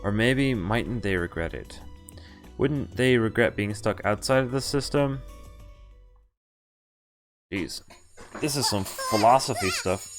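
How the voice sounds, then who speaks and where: A man's voice: lowish voice, slow, pauses often, faint music behind. A man streaming a visual novel, reading the game text aloud in a half-acted way and breaking off for wry, casual asides.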